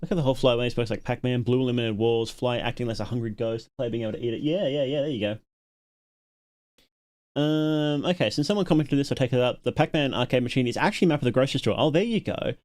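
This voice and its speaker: A man's voice: mid-range voice, quick pace, darkish tone. A man casually commentating on a livestream, musing aloud and reading out viewer comments as he reacts. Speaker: livestream host reacting to a horror series.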